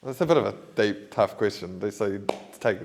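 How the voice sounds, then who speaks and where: A man's voice: fairly low pitch, animated, normal speed, background noise. A man hosting an interview, thinking aloud in long, rambling turns full of "you know" and "bro". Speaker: podcast host. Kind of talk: casual interview chat.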